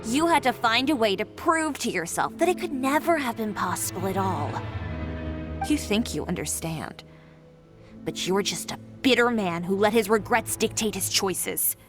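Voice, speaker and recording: An actress playing a composed, sharp-tongued fashion designer in a video game, cool, cutting and self-assured. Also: female voice, mid-range voice, animated, moderate pace, over music, lightly acted.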